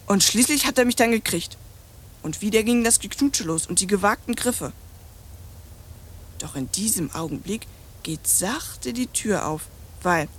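A woman's voice: fairly low pitch, slight background noise, close to an everyday voice. Radio play: adult actress as brash young girl, precocious and scornful, bossy, slangy chatterbox. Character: cheeky, streetwise, precocious young French girl, bluntly scornful.

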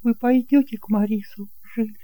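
A woman's voice: a little high, fairly even intonation, moderate pace, mellow, no echo, lightly acted, low-quality recording. A woman playing an anxious, devoted aunt pleading earnestly in an amateur audio drama.

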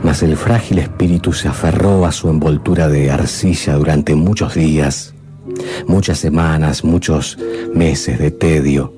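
Deep bass male narrator, solemn gothic reading over loud music; radio. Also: steady tone. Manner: solemn, measured literary narration. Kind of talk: dramatic reading of a gothic tale. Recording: radio broadcast with music bed.

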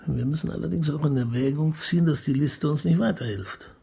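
Detective chief: middle-aged man, cultured but grumpy, gravelly and very husky, for radio comedy. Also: a little high, brisk, warm tone, full character voice. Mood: businesslike. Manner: exasperated, formal. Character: weary, irritable, cultured male police detective chief. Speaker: actor. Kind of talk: German radio crime comedy acting.

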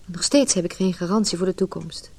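A woman's voice: fairly low pitch, a little fast, a little mellow. An actress playing an anxious, lovelorn businesswoman, a married man's mistress, in an emotional Dutch radio play. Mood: brooding, tense. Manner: emotional, inner monologue and tense dialogue.

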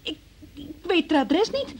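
A naive young woman in a radio drama, pitched high. She answers questions timidly and evasively, hesitant and defensive, with fear underneath. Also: sing-song, measured pace, exaggerated voice. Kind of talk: Dutch radio play acting.

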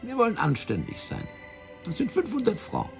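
An actor in a German radio play playing a fat, wily, self-righteous French intelligence officer, explaining things in a theatrical, condescending way. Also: male voice, sits high, wildly swooping pitch, moderate pace, vocal fry, a little mellow, soft background music, heavily acted. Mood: patronising. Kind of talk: German radio drama acting.

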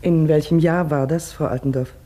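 Mellow, husky woman doctor. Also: sits low, steady tone, normal speed. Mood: matter-of-fact, kindly. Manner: matter-of-fact, reassuring. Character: brisk, reassuring unmarried female doctor. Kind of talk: German radio play acting.

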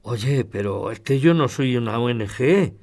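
A man's voice: medium pitch, expressive, measured pace, a little mellow. Man playing a grumbling, stubborn old Spanish pensioner. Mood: protesting. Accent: Spanish from Spain. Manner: grumbling, protesting, exasperated. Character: grumbling, stubborn pensioner, soft-hearted, living alone. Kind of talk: radio soap acting.

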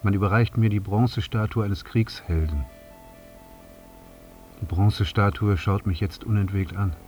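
An actor playing a reflective avenger in a radio drama monologue, measured in delivery. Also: male voice, on the low side, a little mellow, close to an everyday voice. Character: reflective male avenger welcomed home as hero. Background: faint music.

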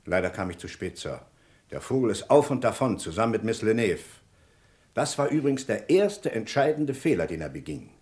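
Dogged inspector, low register, very varied intonation, dry and probing, vintage German radio mystery. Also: male voice, big pitch swings, moderate pace, darkish tone. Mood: grim, sardonic. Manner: probing, dry, clipped and formal. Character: dogged, skeptical British police inspector, dryly polite. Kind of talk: German radio crime play acting of the 1950s-60s.

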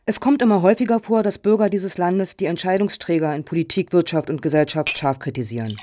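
A woman playing a composed, courteous head of a foundation in a satirical radio play, speaking in a formal, measured, officious way. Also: fairly low pitch, dark tone, dry recording, studio quality, lightly acted. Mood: earnest, official.

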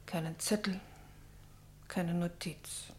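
Radio play mother: middle-aged, sorrowful and reproachful, slightly low pitch, hushed, intimate delivery. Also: steady tone, slow, a little hiss or hum. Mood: hushed grief. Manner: hushed, breaking into whispers, sorrowful. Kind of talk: German radio drama acting.

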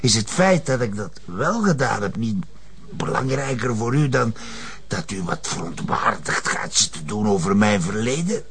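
A man's voice: fairly low pitch, animated, normal speed, noisy background. An actor playing a wily retired police commissioner in a crime drama, an older man with a Flemish accent and a teasing, chuckling manner. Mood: sly, measured. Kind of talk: television crime drama acting.

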